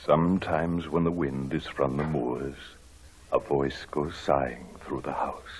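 An actor playing a brooding old gentleman who narrates his memories in a 1940s radio drama. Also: very deep voice, fairly even intonation, measured pace, slight background noise. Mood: brooding, wistful. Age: older. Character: haunted, brooding old gentleman recalling the past. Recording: old radio broadcast with organ music.

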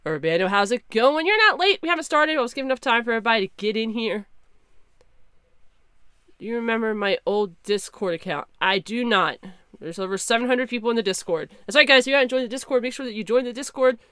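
A young woman streaming a game, chatting casually and excitably with her viewers through a headset, her voice slightly hoarse. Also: a little high, fast talker, no echo, studio quality. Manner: chatty, excitable, talks to chat. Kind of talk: casual live-stream chat.

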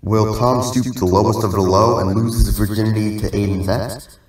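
A man's voice: fairly low pitch, fairly even intonation, moderate pace, husky, no room sound. A young man narrating a storytime video informally, with a sardonic manner and bursts of exaggerated emphasis.

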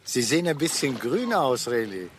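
An actor playing a teasing, wry senior police inspector in a German radio drama. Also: male voice, medium pitch, sing-song, moderate pace, slight background noise, lightly acted.

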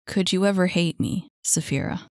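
A woman's voice: lowish voice, normal speed, no room sound, studio quality, close to an everyday voice. A woman doing a shy, self-doubting young man. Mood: despairing. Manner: hesitant, self-deprecating.

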